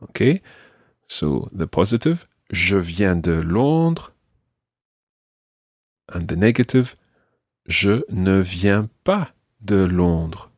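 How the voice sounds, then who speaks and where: Patient man teaching, lively voice. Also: deep voice, slow, pauses often, no echo, studio quality. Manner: patient, clear explaining. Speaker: language teacher and podcast host. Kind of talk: podcast language lesson.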